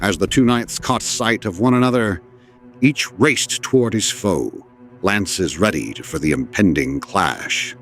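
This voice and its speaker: A man's voice: on the low side, animated, a little slow, soft background music. A man narrating a scripted legend as a dramatic storyteller, in a formal, literary and theatrical manner. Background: orchestral score.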